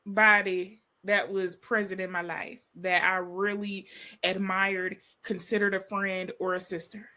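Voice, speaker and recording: A woman's voice: mid-range voice, a little slow. A woman in her thirties co-hosting a podcast, telling a personal story in casual chat and acting out what others said. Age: 30s. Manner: storytelling, expressive, quotes people in voices. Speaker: podcast co-host.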